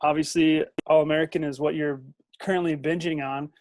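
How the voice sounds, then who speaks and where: Higher-pitched man hosting, dry close sound. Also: fairly even intonation, fairly quick, a little mellow, no room sound, low-quality recording. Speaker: host, interviewer. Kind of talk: hosted interview.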